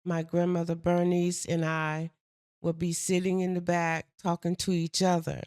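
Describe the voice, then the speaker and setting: An older woman from the States, chatting with her daughter in a soft, gentle, unhurried way. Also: fairly low pitch, completely flat delivery, clean tone, no echo, studio quality. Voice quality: soft-spoken. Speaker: mother and co-host. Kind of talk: casual chat.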